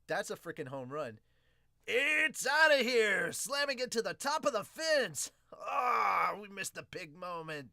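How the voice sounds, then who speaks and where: Animated, bright-voiced podcast host reacting excitedly. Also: mid-range voice, unhurried, gravelly, dry recording, studio quality. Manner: exclamatory, informal, sweary. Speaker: host reading and reviewing a manga. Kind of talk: excited dub reading and reaction commentary.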